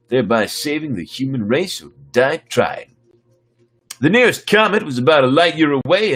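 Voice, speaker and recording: A man with a husky voice reading a story aloud as dramatic narration. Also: mid-range voice, fairly bright tone. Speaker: storyteller reading his own sci-fi story on a stream.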